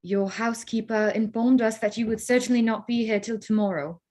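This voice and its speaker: A woman's voice: a little high, fairly even intonation, brisk, darkish tone, close to an everyday voice. A woman as poised, reserved young Lizzie Bennet. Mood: flustered courtesy. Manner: polite, reserved. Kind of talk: staged reading of period drama.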